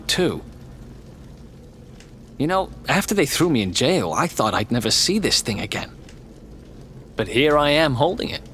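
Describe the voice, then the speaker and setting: A man's voice: medium pitch, fairly bright tone, slight background noise, lightly acted. A man playing a cocky young thief and loyal companion in a video game cutscene, brash and casual in manner. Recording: music behind the voice.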